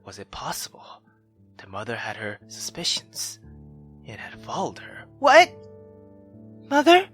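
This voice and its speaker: A male gaming YouTuber reading a visual novel aloud and reacting in a theatrical, excitable way. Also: lowish voice, normal speed, husky, whispered. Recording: music behind the voice. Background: background music.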